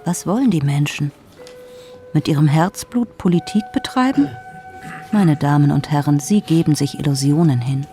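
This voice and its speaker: A man delivering a reflective scripted monologue in a measured, musing way, sometimes dropping almost to a whisper. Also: fairly high pitch, warm tone, soft background music. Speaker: essayistic narrator voice.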